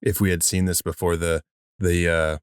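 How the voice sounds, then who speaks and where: Male podcast co-host, low voice, dry close sound, rambling storyteller who laughs along. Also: little pitch movement, moderate pace, smooth, dry recording. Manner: rambling with many fillers and restarts, laughs while telling stories. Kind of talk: casual chat.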